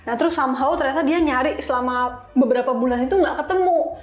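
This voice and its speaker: A woman's voice: high voice, fast. A young woman with an Indonesian accent telling stories casually as an interview guest, informal, with English terms mixed in. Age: young adult. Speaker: interview guest, software engineer. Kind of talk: casual conversational storytelling. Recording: echoey room.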